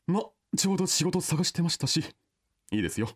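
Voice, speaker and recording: A man's voice: on the high side, expressive, fast talker, breathy edge. A man playing a polite, businesslike monkey companion in a comedic radio drama, speaking formally and deferentially. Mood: polite, mild.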